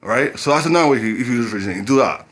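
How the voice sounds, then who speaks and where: Fast-talking man giving blunt advice. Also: medium pitch, expressive, a bit bright. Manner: rambling, repeats himself, blunt street slang. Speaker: dating advice vlogger. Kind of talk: casual talk to camera.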